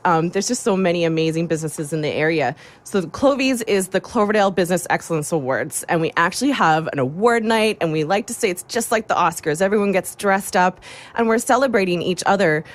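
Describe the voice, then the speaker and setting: A woman's voice: lowish voice, animated, brisk. A woman speaking as an upbeat, enthusiastic guest on a radio show, casually promoting local community work. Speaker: radio show guest, designer and chamber board member. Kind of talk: casual promotional interview talk.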